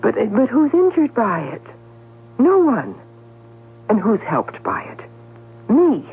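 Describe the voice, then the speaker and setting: A woman's voice: fairly high pitch, huge pitch swings, slow, husky, exaggerated voice. An actress playing a shrewd, self-possessed middle-aged newspaperwoman in a radio drama, speaking emphatically and articulately. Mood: urgent, persuasive. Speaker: actor. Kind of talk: 1970s radio drama acting. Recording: narrowband old radio broadcast with hum.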